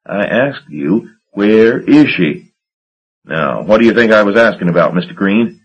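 Man doing a grumpy southern steamboat captain, loud, slow, bossy, husky, dull audio. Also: lowish voice, animated, full character voice. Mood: angry demanding. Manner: blustering, demanding, cursing. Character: gruff, hot-tempered middle-aged male steamboat captain. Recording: dull lo-fi recording.

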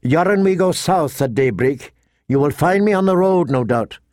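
A man's voice: fairly high pitch, animated, normal speed, darkish tone, no room sound, voice pushed up, natural voice. Give the storybook's little dwarf nobleman , acted by a male reader, a dry and sardonic tongue. He speaks formally and wryly, with great dignity, lifted a bit above plain narration in a close, dry recording. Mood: curt, mocking. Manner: dry, wry, formal speech. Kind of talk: audiobook character acting.

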